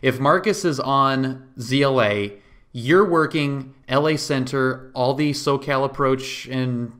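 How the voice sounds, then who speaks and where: A man with a mid-pitched voice hosts a podcast interview in a casual way. He talks conversationally and tends to restart and reword his questions as he goes. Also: takes it slowly, a bit bright. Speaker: podcast host.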